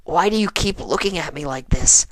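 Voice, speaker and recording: A performer voicing a brash but flustered young female pegasus pony from a cartoon fan story, stammering and earnest, with a voice that cracks and turns breathy. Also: mid-range voice, animated, normal speed, no room sound, pitched above the performer's own voice, close to an everyday voice. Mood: overwhelmed.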